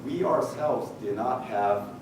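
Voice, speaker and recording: A middle-aged Japanese man speaking English with a Japanese accent, giving a measured technical conference talk with frequent "uh" pauses and a touch of dry humor. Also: mid-range voice, animated. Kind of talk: presentation talk with slides. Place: large conference hall. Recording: echoey hall picked up from far off with noise.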